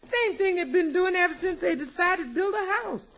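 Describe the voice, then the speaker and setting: A folksy, sassy housekeeper from a fifties radio sitcom, wry and exasperated. Her high voice moves up and down a lot on a muffled old recording. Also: animated, a little fast, a bit bright, full character voice. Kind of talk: 1950s radio situation comedy acting.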